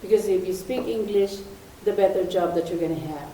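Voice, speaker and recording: A woman's voice: mid-range voice, mellow. Older Ilocano-speaking woman, distant and echoey. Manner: halting, searching for words. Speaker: audience member. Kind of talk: sharing a family story in a discussion. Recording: echoey room, voice from afar, faint hiss.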